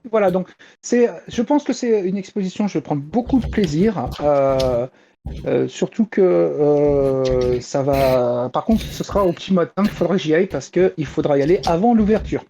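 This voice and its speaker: A man's voice: on the high side, normal speed, mellow, a little hiss or hum. A man chatting casually as a panelist reporting news on a talk show, hesitant and searching for words. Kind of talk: casual chat.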